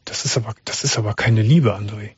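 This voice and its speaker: A man hosting a late-night radio call-in show, calmly asking questions and giving advice. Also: fairly low pitch, a little fast, breathy edge, no room sound. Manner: calm, probing, interrupts to clarify. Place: radio studio. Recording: dull broadcast radio sound.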